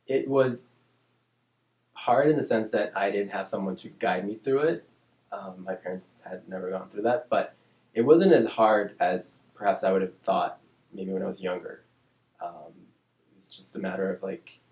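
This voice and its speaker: A man who grew up in Oakland, California, with Spanish as his first language, reflecting on his life in an interview at a thoughtful, measured pace with frequent ums and restarts. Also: on the low side, darkish tone, reverberant, rough recording. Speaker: interview guest, speech-language pathologist.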